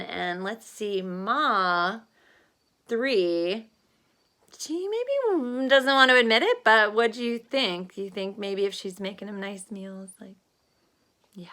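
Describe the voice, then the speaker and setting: Bright-voiced woman reading a storybook aloud. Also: medium pitch. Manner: animated, pausing to ask listeners questions. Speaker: teacher reading a picture book aloud to children. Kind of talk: expressive storybook read-aloud with think-aloud questions.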